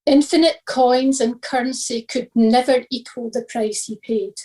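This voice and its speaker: A woman's voice: on the high side, fairly even intonation, measured pace, mellow. A woman reading a scripted devotional reflection as one of a church's readers.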